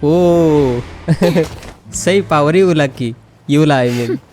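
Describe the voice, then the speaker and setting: A woman's voice: deep voice, fairly quick, mellow. A woman with an Indian accent giving casual, informal gameplay commentary as the host, reacting to the game in a giggly way. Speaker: gaming video host. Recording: game music behind commentary.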